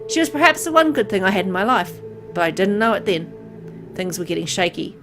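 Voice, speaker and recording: A woman's voice: medium pitch, sing-song, brisk. A woman reading the part of a bitter, resigned dying man of twenty-five in solemn, introspective visual novel narration. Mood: bitter reflection. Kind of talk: dramatic first-person visual novel narration. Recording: music behind the voice.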